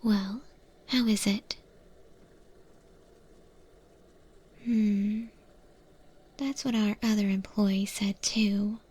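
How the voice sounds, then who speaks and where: A woman playing a calm, courteous eldritch monster chef in a soft-spoken, whispered ASMR roleplay. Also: medium pitch, slightly rough. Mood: politely apologetic. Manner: slow, gentle, polite, unhurried.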